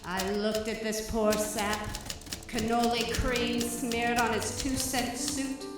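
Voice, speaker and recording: A conniving femme fatale played by a woman with a fairly high voice, sardonic and melodramatic, in a 1940s noir radio spoof. She is heard in a very echoey live stage space, with music behind her. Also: little pitch movement, takes it slowly, far from the mic. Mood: scornful narration. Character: scheming, scornful female femme fatale, brilliant but conniving murderous widow. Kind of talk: parody 1940s noir radio acting, alternating narration and dialogue.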